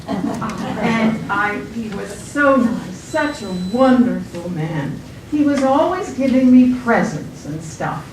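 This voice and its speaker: A woman acts out a well-to-do wife bragging in a readers theater comedy. Her voice sits a little high and moves slowly as she gushes. It is picked up from a distance in an echoey room with clear noise. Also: lively intonation, a crowd in the background. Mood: gushing, boastful. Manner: gushing, showing off. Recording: roomy, distant, noisy live stage recording.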